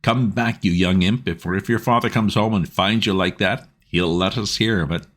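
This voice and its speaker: A man's voice: fairly low pitch, big pitch swings, normal speed, fairly bright tone, pitched above the performer's own voice, full character voice. A man voicing a stout, red-faced, working-class boatman's wife who rambles anxiously in homely dialect.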